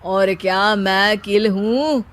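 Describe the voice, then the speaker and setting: A woman's voice: mid-range voice, takes it slowly, pitched below the performer's own voice, lightly acted. A woman voicing a lazy, boastful young man in a cartoon, declaiming corny rhymed couplets in a theatrical way.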